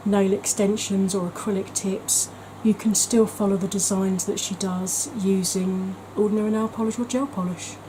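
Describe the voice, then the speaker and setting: A woman's voice: medium pitch, a little mellow, slight background noise, low-quality recording. A woman talking in a chatty, gushing way as a beauty vlogger, with frequent ums. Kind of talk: casual vlog chat.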